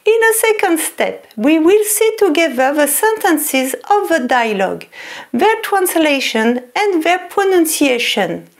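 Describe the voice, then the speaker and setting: A woman with a French accent gives a lesson in a high, bouncing voice. She talks very slowly and over-articulates, repeating words and phrases so learners can follow. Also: sing-song. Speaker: French teacher. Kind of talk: slow, clear lesson narration in English and French.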